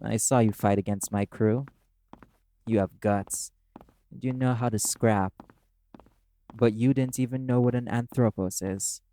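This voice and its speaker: A man's voice: medium pitch, fairly even intonation, measured pace, pauses often, warm tone, slight background noise, close to an everyday voice, poor audio. A man playing a brash, proud demon in an audio roleplay, terse, scornful and commanding in manner. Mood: impatient, scornful. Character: brash, proud, curt demon, prickly and guarded.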